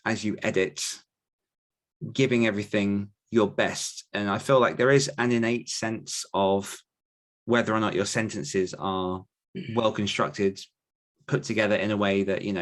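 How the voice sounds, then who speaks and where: A man's voice: on the low side, a bit bright. A man, talking casually and thoughtfully as an author and podcast host answering questions.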